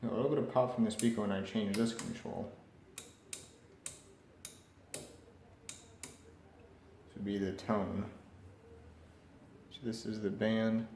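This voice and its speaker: A man's voice: lowish voice, steady tone, measured pace, long pauses, darkish tone. A man casually narrating a hands-on repair, tentative and thinking aloud as he works. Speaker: hobbyist radio repairer presenting. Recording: roomy echo with faint background noise.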